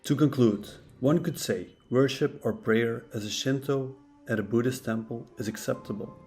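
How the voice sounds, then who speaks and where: A man, not a native English speaker, reading a scripted philosophy lesson in a steady, measured way. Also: fairly low pitch, gravelly. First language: neither English nor Japanese. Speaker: narrator of a philosophy channel. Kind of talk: scripted educational narration. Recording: faint guitar music behind.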